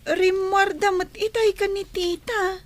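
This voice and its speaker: A woman's voice: extremely high pitch, fairly even intonation, a little slow, slight background noise. A woman voicing a meek, put-upon schoolgirl in a radio drama with a plaintive manner.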